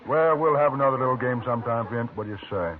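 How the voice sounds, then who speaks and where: A man's voice: medium pitch, fast talker, natural voice. An actor playing a jovial, boastful man who has just won at cards, in a 1950s radio drama, talking in a hearty, chuckling way. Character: boastful, lucky card-winning man, hearty. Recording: scratchy, tinny old radio broadcast.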